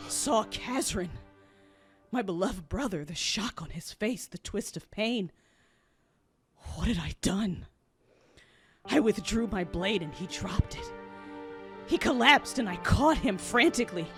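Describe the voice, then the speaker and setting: A woman's voice: mid-range voice, animated, unhurried, long pauses, breathy edge, soft background music. A woman playing an anguished, rage-consumed Jedi warrior, narrating an audio drama in the first person with intense emotion. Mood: stricken, horrified. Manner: intense, emotive, shifting from hushed to roaring.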